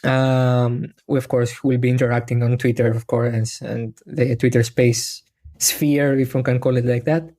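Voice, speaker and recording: A man's voice: medium pitch, level tone, measured pace, dark tone. A man from Greece with a Greek accent, Greek being his native language. His voice sits in a middle range. He hosts a podcast in casual chat, with a joking, self-deprecating manner. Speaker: podcast host.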